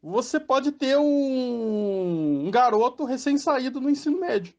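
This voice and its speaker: A man's voice: extremely high pitch, animated, measured pace. A Brazilian man hosting a podcast, rambling through casual commentary with many fillers and tag questions. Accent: Brazilian Portuguese. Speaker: podcast host. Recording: muffled lo-fi sound.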